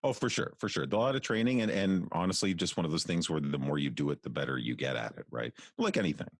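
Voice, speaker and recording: A male voice actor chatting in an interview, relaxed and talkative, often restarting his sentences. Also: sits low, fast talker, dry recording, studio quality. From outside the United States. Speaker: guest voice actor. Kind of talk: casual interview chat.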